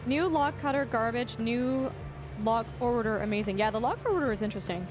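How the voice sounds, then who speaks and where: Lady streamer rambling to chat, kinda high voice, game music going behind. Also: expressive, fairly quick, low-quality recording. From Canada. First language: English. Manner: rambling, restarts often, laughs easily. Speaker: livestreamer. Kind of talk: casual chat with viewers while playing.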